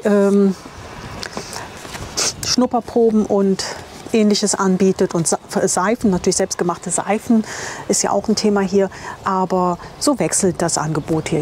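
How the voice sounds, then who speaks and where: A woman presents an informal travel vlog. Her voice sits at a medium pitch, and she is chatty and enthusiastic. She wears a lapel mic, with some ambient noise behind her. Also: a little mellow. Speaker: camper van travel vlogger and presenter. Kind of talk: informal guided tour to camera. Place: campsite, outdoors and in small tiled rooms. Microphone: clip-on lapel microphone. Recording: clear ambient noise on location.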